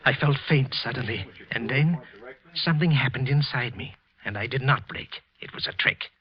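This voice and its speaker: An actor playing a frightened, guilt-ridden office clerk confessing in a halting 1940s radio suspense narration. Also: male voice, mid-range voice, a crowd in the background, close to an everyday voice. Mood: fearful. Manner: tense, halting, repeating himself. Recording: muffled old radio recording.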